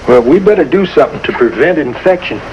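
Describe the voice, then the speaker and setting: An actor playing a reassuring country doctor in a 1950s television drama. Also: male voice, a little high, expressive, fast talker, highs cut off, close to an everyday voice. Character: brisk, reassuring country doctor, folksy grown man. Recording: faintly noisy 1950s TV soundtrack.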